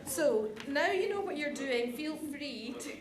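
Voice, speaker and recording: A woman's voice: sits high, animated, a little slow. A woman giving an informal, good-humoured conference presentation. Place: large conference room. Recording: roomy, clearly noisy conference hall recording.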